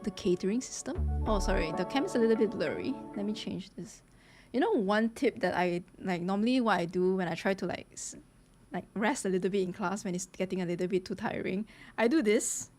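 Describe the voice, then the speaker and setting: A young woman streams her reactions to a game in a casual, chatty way. She is expressive, with a medium-pitched voice and often repeats phrases. Game music plays clearly behind her. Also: a little fast. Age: young adult.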